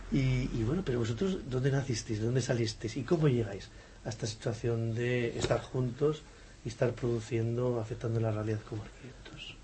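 A man's voice: medium pitch, fairly even intonation, normal speed, mellow, a little hiss or hum. Joking older male interviewer. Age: 60s. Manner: friendly, joking, prompts with short questions and backchannels. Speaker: interviewer, podcast host. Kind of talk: conversational interview.

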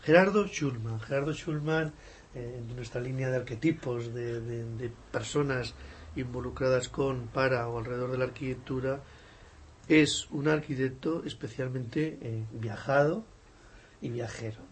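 A man's voice: mid-range voice, unhurried. A man hosting a podcast interview, talking in a digressive, hesitant way with many fillers. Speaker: podcast host. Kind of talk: conversational interview. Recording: faint background noise.

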